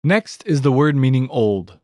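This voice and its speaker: A man's voice: medium pitch, animated, unhurried, a little mellow, no room sound, studio quality. A man narrating a language lesson audiobook, announcing each word in a fixed scripted pattern.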